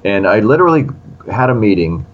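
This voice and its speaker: A man's voice: on the low side, brisk, lo-fi sound. A man in his sixties, a screenwriter in an interview, telling a story animatedly and acting out quotes. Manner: animated, acts out quotes in other voices. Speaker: screenwriter guest.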